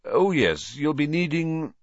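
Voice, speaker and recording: A man doing a calm old wizard headmaster. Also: a little high, a little slow, dry recording, dull audio, pitched above the performer's own voice. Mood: gentle.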